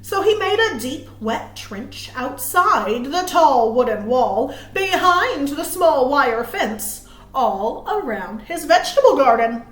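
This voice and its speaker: High-pitched woman reading slowly to children. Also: reverberant, low-quality recording. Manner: expressive, asks listeners questions, dramatic emphasis. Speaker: children's librarian reading at a virtual storytime. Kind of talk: animated read-aloud to young children.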